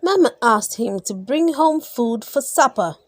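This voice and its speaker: A woman's voice: a little high, dry recording. A woman reading children's bedtime stories aloud expressively, drawing out key words and putting questions to young listeners. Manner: expressive, drawn-out emphasis, gasps and sighs, questions to young listeners. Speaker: storybook reader. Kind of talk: read-aloud children's bedtime story narration.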